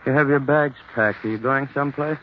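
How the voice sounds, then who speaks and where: A man playing an unhinged jealous husband in an old-time radio thriller, mocking and falsely calm with menace underneath. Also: medium pitch, brisk, a little hiss or hum, lightly acted. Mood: mocking suspicion. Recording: muffled vintage radio recording.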